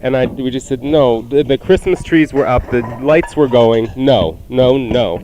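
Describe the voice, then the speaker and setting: A man's voice: mid-range voice, measured pace, mellow, slight background noise, rough recording. A man co-hosting a radio cooking show with casual, joking banter in an offhand, wry manner. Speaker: radio show co-host, cook.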